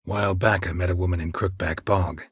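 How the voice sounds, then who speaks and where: An actor playing a stoic, world-weary monster hunter in a video game, with a gravelly, husky voice and terse, dry, deadpan delivery. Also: male voice, low-pitched, a little fast, no echo. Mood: dry, questioning.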